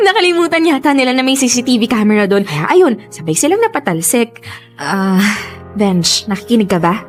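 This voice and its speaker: An actress playing a caring, perceptive young girlfriend in a Filipino radio drama, affectionate and gently probing. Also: on the high side, sing-song, normal speed. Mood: chatty, amused. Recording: music bed under the voice.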